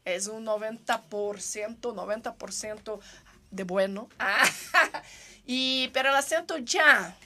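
A woman's voice: on the high side, takes it slowly, bright, forceful tone. A woman from Brazil with a Brazilian Portuguese accent, hosting a playful, joking casual chat. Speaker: host.

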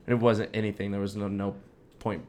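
Man, young adult, lower-pitched voice, slangy casual chat, podcast co-host. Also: level tone, fairly quick, darkish tone. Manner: slangy, informal.

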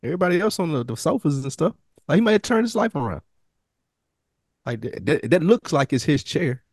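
A man's voice: on the high side, sing-song, fairly quick, a little mellow, slightly rough, no echo, clean studio sound. A man co-hosting a podcast with casual, slangy, conversational TV review talk. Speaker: podcast co-host.

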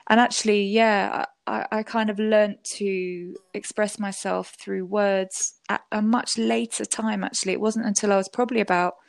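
A woman's voice: mid-range voice, flat delivery, moderate pace, creaky, few pauses, no room sound. Chatty woman, dry close sound. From Southwest London, England. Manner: chatty and reflective, many fillers, laughs often. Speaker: guest musician. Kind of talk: casual interview conversation.

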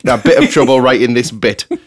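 A man's voice: mid-range voice, wildly swooping pitch, fast. A man hosting a casual comedy podcast, reading out listener submissions and often breaking into laughter as he goes.

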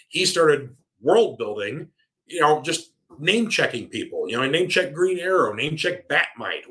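A man hosting a casual solo chat show at home, rambling with lots of fillers and restarts, his voice tiring and a little hoarse. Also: medium pitch, fairly bright tone. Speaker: podcast host. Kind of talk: casual solo chat reading listener comments. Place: home room with a cat nearby.

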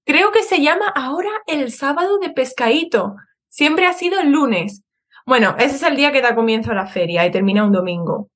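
A woman's voice: sits high, expressive, moderate pace, a bit bright. A woman from southern Spain with a Spanish accent from Spain, a guest explaining things conversationally and correcting herself as she goes. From Seville, Spain, born in Madrid. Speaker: podcast guest, online Spanish teacher. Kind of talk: casual explanatory interview chat.

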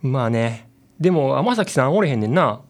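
An actor with a Kansai accent playing a casual, blunt convenience store clerk in a radio drama. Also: male voice, medium pitch, big pitch swings, moderate pace. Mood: casual, curious.

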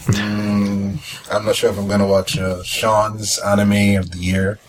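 A man's voice: lowish voice, monotone, measured pace, soft background music, poor audio. A man chatting casually as a podcast host, musing hesitantly as he goes. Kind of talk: casual chat.

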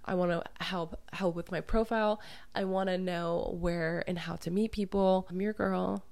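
A woman's voice: on the low side, steady tone, normal speed, creaky, darkish tone, no echo. A young woman who has lost part of her voice to illness, hosting a casual solo podcast as a dating coach and talking candidly with some swearing. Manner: candid, swears freely, laughs easily. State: hoarse, losing her voice from illness.

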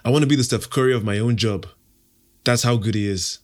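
A man's voice: medium pitch, a little fast. A young man from Nigeria, an Igbo speaker, answering interview questions in a confident, playful, self-assured way. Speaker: podcast host, interview guest.

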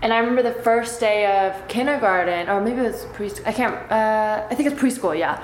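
A young woman whose first language is Chinese, telling stories in a casual chat with quick asides. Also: a little high, slight background noise. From United States. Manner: chatty storyteller, quick asides. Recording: roomy with faint ambience.

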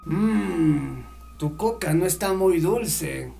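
A man playing a proud, cold village hired killer in a radio drama, deliberate and boastful. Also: sits high, lively intonation, creaky, warm tone, faint music behind, heavily acted. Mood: cool, bargaining.

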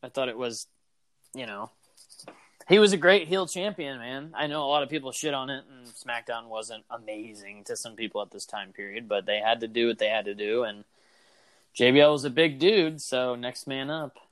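A man in casual conversation who rambles and gets animated, full of "like" and "man". His voice is mid-pitched, and the sound is dry and close with no room around it. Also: normal speed, dry recording, poor audio. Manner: animated, rambling, lots of fillers. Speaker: podcast co-host. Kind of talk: casual chat about wrestling.

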